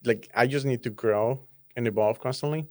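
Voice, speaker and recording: A man co-hosting a podcast, talking casually in a relaxed way, in loose bursts. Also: medium pitch, normal speed, no room sound, studio quality. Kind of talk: casual conversation.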